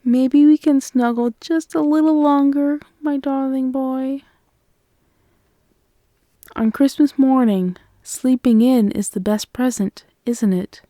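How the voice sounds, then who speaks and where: An affectionate, playful girlfriend played by a woman in an intimate ASMR roleplay. Her voice is fairly high and mellow, and she coos and teases at a very unhurried pace. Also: dry recording, clean studio sound. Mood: cosy, content. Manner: soft, cooing, teasing.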